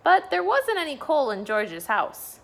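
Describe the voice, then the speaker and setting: A woman's voice: sits high, huge pitch swings, a little slow, vocal fry. A woman, a school staff member, reading a bedtime storybook aloud for children.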